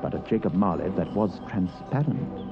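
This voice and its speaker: A man's voice: deep voice, animated, normal speed. A man narrating a scripted story dramatically in the style of an old radio broadcast. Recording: muffled vintage radio broadcast with music.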